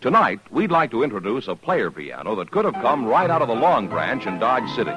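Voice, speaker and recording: A man's voice: mid-range voice, sing-song, fairly quick, over music, poor audio. An American man announcing a radio advertisement in polished 1950s style. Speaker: commercial announcer.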